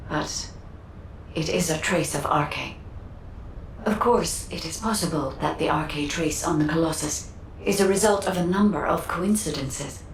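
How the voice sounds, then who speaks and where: A woman's voice: deep voice, reverberant, far from the mic, slight background noise, low-quality recording. A woman playing an earnest, analytical scientific expert in a sci-fi game, speaking precisely and formally. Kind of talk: scripted game dialogue.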